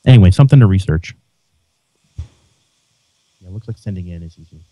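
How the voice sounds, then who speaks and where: Low-voiced man hosting a podcast. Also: expressive, a little fast, dark tone. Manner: rambling, restarts sentences. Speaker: podcast host. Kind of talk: casual podcast chat. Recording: dull lo-fi sound.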